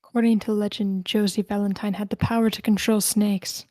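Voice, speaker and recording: A woman's voice: mid-range voice, level tone, brisk, warm tone, no echo, clean studio sound, lightly acted. A woman playing a tour guide in her late twenties who tells eerie local legends at a screenplay table read. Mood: eerie storytelling.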